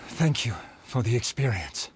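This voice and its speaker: A man's voice: medium pitch, lively intonation, normal speed, over music, close to an everyday voice. A man playing a formal, humble, earnest swordsmith's heir in a video game. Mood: honoring. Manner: formal, solemn.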